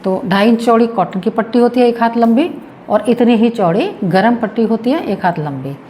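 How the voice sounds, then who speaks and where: A woman's voice: fairly high pitch, animated, normal speed, dark tone. Female presenter, demonstration lesson, patient step-by-step explaining, faint background noise. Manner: explains with repetition while demonstrating. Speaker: health tips presenter.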